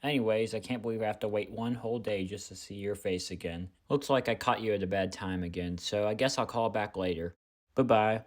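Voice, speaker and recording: A man acts out a lovesick park visitor in an audio drama. His voice sits on the low side, casual and conversational, as he leaves a wistful, lonely voicemail. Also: fairly quick, natural voice. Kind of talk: acted voicemail message.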